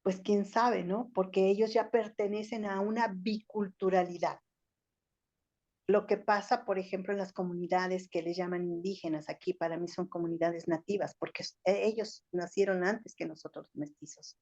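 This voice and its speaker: A woman's voice: medium pitch, fairly even intonation, moderate pace, a little mellow. Older Mexican woman, reflective and lecturing. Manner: thoughtful, lecturing, many fillers like "o sea" and "este". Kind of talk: reflective talk-show discussion. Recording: slightly dull lo-fi audio.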